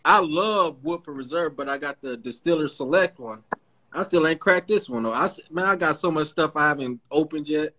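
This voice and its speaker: A man's voice: fairly high pitch, normal speed, bright, forceful tone. A man from Detroit chatting casually and joking with friends on a podcast, laughing often and swearing freely. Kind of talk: casual chat.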